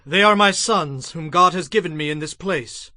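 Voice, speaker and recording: An assured governor of ancient Egypt in an audio Bible drama. His male voice is high and bright, with a very dry sound. He declaims with measured authority. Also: no echo. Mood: respectful. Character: commanding, assured governor of Egypt, a dutiful son. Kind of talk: dramatized scripture acting.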